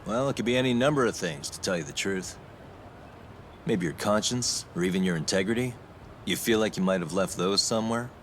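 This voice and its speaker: A man's voice: fairly low pitch, brisk, a bit bright, slight background noise, close to an everyday voice. A man playing a dry, confident, taunting investigator in a video game cutscene. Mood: sardonic, accusing. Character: cool, sardonic male investigator confronting wrongdoers. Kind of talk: dramatic game cutscene dialogue, English dub.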